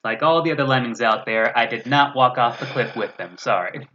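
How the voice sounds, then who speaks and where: A man's voice: mid-range voice, animated, fairly quick, pushed and bright, a little hiss or hum. A man chatting casually as a guest on a gaming stream, wry and self-deprecating. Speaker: guest gamer. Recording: dull, lo-fi voice audio.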